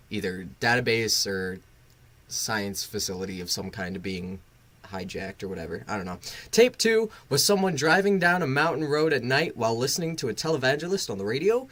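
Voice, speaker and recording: A man's voice: mid-range voice, fairly bright tone. A man hosting a casual live reaction stream, rambling and swearing, bluntly critical with a sarcastic, self-deprecating streak. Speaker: livestream host and reviewer.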